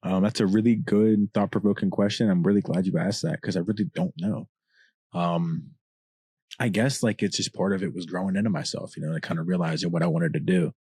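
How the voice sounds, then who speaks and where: Deep husky-voiced man, very low pitch. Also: steady tone, a little fast, dark tone, no room sound. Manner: passionate, profane, long-winded. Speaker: rapper, podcast guest. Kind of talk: casual candid chat.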